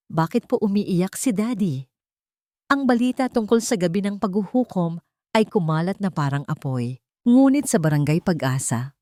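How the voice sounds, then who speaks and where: A woman with a fairly low voice tells a dramatic romance story in a steady, scripted way. The recording is close and dry, with no room sound. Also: no room sound. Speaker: narrator.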